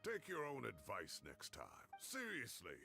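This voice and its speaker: An actor playing an aging male professor in a video game, a wry, wistful and fond old mentor with a gravelly voice who teases and reminisces. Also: mid-range voice, wildly swooping pitch, unhurried, pushed and bright, full character voice. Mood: wry, teasing. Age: older. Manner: wry, teasing, rambling reminiscence with sighs. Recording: game audio with music underneath. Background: game music.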